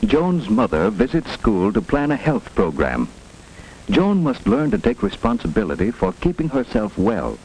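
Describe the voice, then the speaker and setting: Male narrator, lowish and animated, teaching children simply, 1940s school film with faint noise. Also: normal speed, gravelly. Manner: instructive, simple phrasing for children. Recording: noisy 1940s film soundtrack with hiss.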